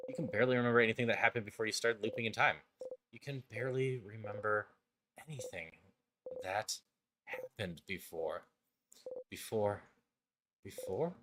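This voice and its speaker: A man streaming a video game, chatting casually and reading its narration aloud in a thoughtful, relaxed way. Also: mid-range voice, background noise. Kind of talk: casual gameplay chat and dramatic narration. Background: background music.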